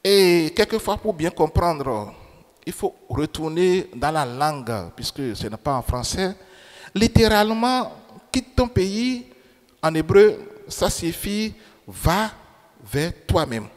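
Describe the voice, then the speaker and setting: A man's voice: on the high side, big pitch swings, unhurried. A man preaching a sermon in French, which is not his first language. He repeats key phrases and puts questions to the congregation. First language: another language than French. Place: church. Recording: church reverb. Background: long hall reverb.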